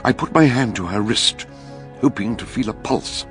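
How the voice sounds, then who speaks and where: An actor playing a shrewd, courteous older British detective in a radio drama, speaking in a ponderous, theatrical way. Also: male voice, on the low side, lively intonation, moderate pace, a bit bright, soft background music. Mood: grave storytelling. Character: shrewd, grave, genial older male detective. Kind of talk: radio drama acting and narration.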